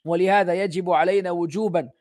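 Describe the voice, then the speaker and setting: A man's voice: high voice, steady tone, darkish tone. A man giving an Islamic religious lesson as a teacher, moving between Arabic text and English translation. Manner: halting English delivery, rapid fluent Arabic recitation.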